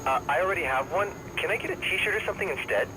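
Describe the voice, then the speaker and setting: On a clearly noisy phone-in line, a quick-talking radio caller. He is an eager fan with casual phrasing and very lively intonation, a character in a game's talk show. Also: sits high. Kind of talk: call-in radio game acting.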